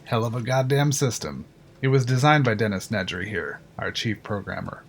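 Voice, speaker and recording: A man's voice: lowish voice, expressive, normal speed, vocal fry, slight background noise. A man playing a proud, matter-of-fact control-room engineer in an audio drama, explaining with confidence. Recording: music under the voice. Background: music.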